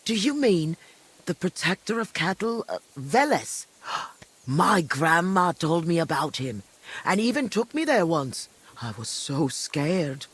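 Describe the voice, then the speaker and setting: A woman's voice: lowish voice, sing-song, takes it slowly, slightly rough, full character voice. An actress playing a chatty, kindly old village granny in a video game, rambling and hesitating as she talks. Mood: nostalgic, animated. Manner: rambling, hesitant recollection with frequent second thoughts. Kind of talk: video game dialogue acting.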